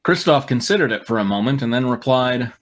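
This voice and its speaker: A man's voice: mid-range voice, expressive, brisk, faint music behind. A man reading a story aloud dramatically, breaking off for dry, mocking asides. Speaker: reader on a fan fiction comedy show.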